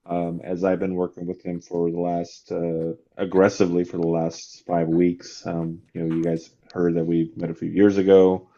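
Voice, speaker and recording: Deep, mellow-voiced upbeat podcast host. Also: male voice, completely flat delivery, normal speed, few pauses, dull audio. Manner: upbeat, encouraging, talks to the audience directly. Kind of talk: casual podcast chat.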